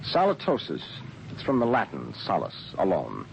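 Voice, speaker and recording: Seasoned male starship captain, lively low voice, authoritative yet conversational, vintage radio drama, muffled noisy recording. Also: moderate pace, creaky, exaggerated voice. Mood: grave, explaining. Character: seasoned, worldly male spaceship captain, matter-of-fact and hospitable. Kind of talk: 1950s radio drama acting.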